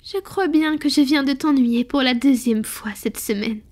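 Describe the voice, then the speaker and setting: Casting: tender, morally torn vampire lady played by a woman, high breathy voice, whispered intimate ASMR roleplay delivery. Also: a little mellow, close to an everyday voice. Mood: tender, loving. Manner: slow, intimate, hesitant. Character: tender, conflicted wealthy female vampire confiding in her beloved.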